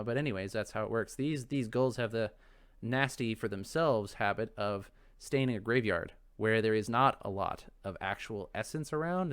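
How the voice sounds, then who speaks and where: A man with a lowish voice hosts a casual let's play. He muses out loud and talks to himself, wandering from one thought to the next in loose game commentary. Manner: rambling, musing, talks to himself.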